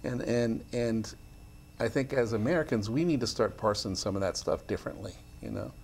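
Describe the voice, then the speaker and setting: A man on a studio panel, giving measured, thoughtful opinions with frequent "you know". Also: on the low side, gravelly, a little mellow, slight background noise. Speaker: panel guest.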